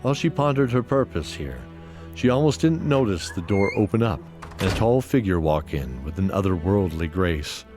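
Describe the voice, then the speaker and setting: A man narrating a scripted fantasy audio drama in a measured, descriptive storytelling manner. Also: low voice, expressive. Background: music.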